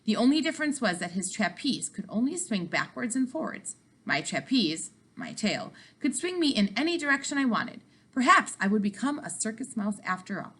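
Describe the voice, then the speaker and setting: A woman narrating a children's book aloud with animated, dramatic emphasis. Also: a little high, normal speed, breathy edge. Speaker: read-aloud storyteller.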